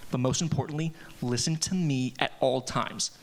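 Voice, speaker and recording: A man's voice: medium pitch, steady tone, normal speed, natural voice. A man reading the part of a bossy teenage boy who leads his friends like a drill sergeant, at a live table read. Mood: commanding. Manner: commanding, drill-sergeant briefing. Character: bossy self-appointed teen boy leader. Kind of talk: live table read of a horror comedy script.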